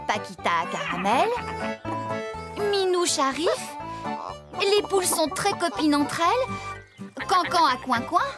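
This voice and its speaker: High-voiced, kind cartoon farmer woman. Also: full character voice. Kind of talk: French-dubbed preschool cartoon acting. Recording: children's music behind the voice.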